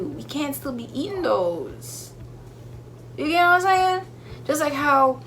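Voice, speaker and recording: A young woman hosting her channel, reading search answers aloud and breaking into emphatic, animated asides. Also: a little high, slight background noise, poor audio. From Jamaica. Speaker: YouTube host. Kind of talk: reading search results with commentary.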